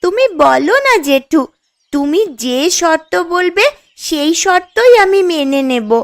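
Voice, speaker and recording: A woman's voice: very high-pitched, expressive, measured pace, exaggerated voice. A woman voicing a poor, earnest village girl who pleads, in a children's cartoon story. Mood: pleading. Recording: music playing under the voice.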